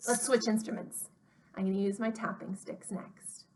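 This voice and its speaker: A woman hosting a story time for small children, speaking in a simple, expressive, child-directed way as she narrates. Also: medium pitch, moderate pace, heavy background noise.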